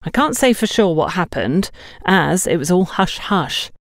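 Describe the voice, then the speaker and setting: A woman's voice: on the low side, big pitch swings, a little slow, no echo, clean studio sound. A woman voicing a knowing female store colleague in an audiobook, gossiping and giving cautionary hints. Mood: confiding. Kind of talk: audiobook character dialogue.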